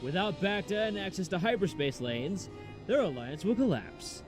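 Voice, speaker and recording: A man voicing an ambitious, persuasive pirate warlord in a comic dub, commanding and smooth-talking. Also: high-pitched, very animated, moderate pace, music in the background.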